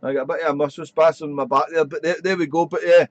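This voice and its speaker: A man chatting on amateur radio from his radio shack, rambling and friendly, his voice slightly croaky. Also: fairly high pitch, steady tone, fast, no room sound. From Wales. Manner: chatty, rambling, fills with "there". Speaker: ham radio operator. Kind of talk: casual radio chat.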